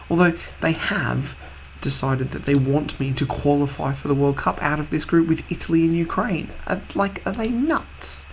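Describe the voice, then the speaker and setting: A man hosting a video game let's-play in a casual, rambling way, slightly husky from a cold. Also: a little high, fairly bright tone, a little hiss or hum, poor audio. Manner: rambling, offhand, chatty.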